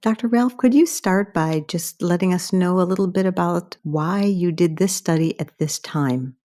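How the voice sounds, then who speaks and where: A woman's voice: fairly low pitch, moderate pace, warm tone. A middle-aged American woman hosting a formal interview as a medical editor. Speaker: podcast host and medical journal editor.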